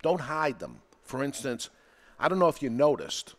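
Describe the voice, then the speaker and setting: A man's voice: mid-range voice, very animated, normal speed, fairly bright tone. A man with a New England accent hosting a live podcast in a studio, talking plainly and pushing each point with energy. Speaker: podcast host.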